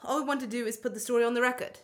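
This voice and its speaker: A woman in a radio play acting a brisk, reassuring police detective. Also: on the high side, fairly even intonation, close to an everyday voice.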